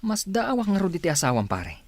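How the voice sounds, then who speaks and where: A man's voice: sits high, wildly swooping pitch, brisk, full character voice. A man acting a frank, generous co-worker with a Filipino accent, talking chummily in a radio drama. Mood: frank, urging. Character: friendly, blunt male co-worker, generous buddy.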